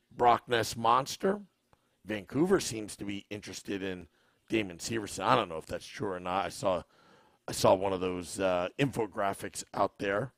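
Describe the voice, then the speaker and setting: A man with a scratchy, tired-sounding voice hosts a live podcast, reading out viewer comments and tossing questions back to his audience. He speaks slowly and a little low, close to a dry, unreverberant mic. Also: gravelly, no room sound. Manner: relaxed, unhurried, asks the audience questions. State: scratchy, worn voice. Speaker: podcast host.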